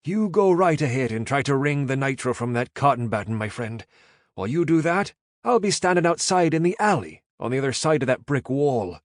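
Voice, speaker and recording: A man's voice: mid-range voice, sing-song, normal speed, no room sound, studio quality, pitched above the performer's own voice, lightly acted. A man voicing a touchy, proud Irish safecracker in a thick, lilting brogue. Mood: sardonic.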